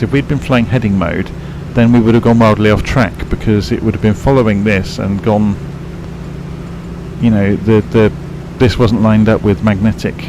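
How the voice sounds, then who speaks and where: A man streaming a flight simulator, explaining cockpit instruments in a rambling, self-correcting way, his voice croaky and tired from a late night. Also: on the low side, noisy background. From England. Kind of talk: casual live-stream commentary.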